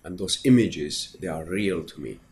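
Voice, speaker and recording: A man from Syria whose first language is Kurdish, answering interview questions thoughtfully with many pauses and repeats. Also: low-pitched, a little hiss or hum. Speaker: actor guest. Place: festival interview room.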